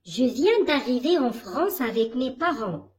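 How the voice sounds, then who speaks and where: Female teacher, high animated voice, measured pace, leads kids through a text. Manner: slow, questioning, didactic. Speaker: primary school teacher. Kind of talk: reading lesson for children.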